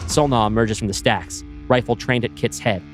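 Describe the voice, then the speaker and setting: A man reading a sci-fi screenplay aloud, setting scenes with brisk stage directions. His voice is mid-pitched and plain, with faint music playing underneath. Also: fairly even intonation.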